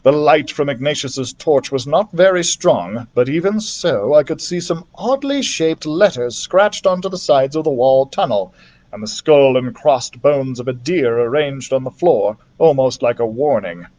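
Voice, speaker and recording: A man reading a children's adventure novel aloud as a library storyteller, in an expressive narrative style. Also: medium pitch, unhurried.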